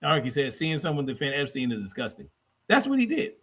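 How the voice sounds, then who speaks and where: A man's voice: medium pitch, wildly swooping pitch, fast talker, a bit bright. A man hosting a livestream in a combative, blunt, profane way, repeating phrases for emphasis as he reacts to clips and chat. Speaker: livestream host.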